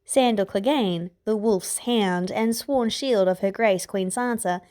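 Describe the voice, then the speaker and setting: A woman's voice: medium pitch, a little slow, a little mellow. A woman reading a scripted story aloud as its narrator. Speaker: podfic author and narrator. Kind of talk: scripted fan fiction narration.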